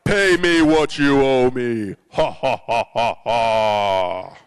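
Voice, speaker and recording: A man voicing a pompous, regal storybook king with a booming, drawn-out voice. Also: clear, resonant tone, long reverb tail. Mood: gloating. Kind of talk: acted-out storytelling.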